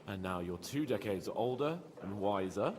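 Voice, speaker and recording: A man's voice: fairly low pitch, normal speed, a little hiss or hum, natural voice. An actor playing a cocky, jovial medieval nobleman in a video game, teasing and wry, fond of pleasure. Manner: teasing, animated. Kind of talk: video game dialogue acting.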